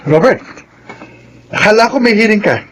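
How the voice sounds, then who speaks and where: A Filipino actor playing a wronged, rough but loving older brother in a radio melodrama, earnest in his delivery. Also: sits high, lively intonation, moderate pace, fairly bright tone, a little hiss or hum. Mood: wary. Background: music.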